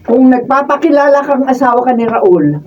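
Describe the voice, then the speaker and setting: A woman's voice: high voice, animated, normal speed, a little mellow, a little hiss or hum. A woman acting a defiant, defensive aunt in a radio drama. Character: defiant, defensive aunt guarding her niece's benefactor. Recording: distant, muffled raw studio video.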